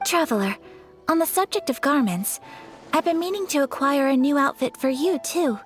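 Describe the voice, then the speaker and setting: A woman's voice: sits high, lively intonation, moderate pace, soft background music. A young woman voicing a gracious, principled noblewoman in a video game, speaking formally and courteously. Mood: calm.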